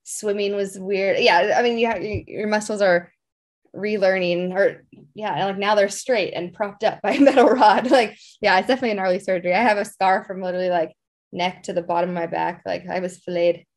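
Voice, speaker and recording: A woman's voice: medium pitch, steady tone, brisk. A young adult woman telling personal stories as an interview guest, in an informal, rambling way peppered with filler phrases. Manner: informal, rambling, frequent "like" and "you know". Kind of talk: casual conversational interview, personal storytelling.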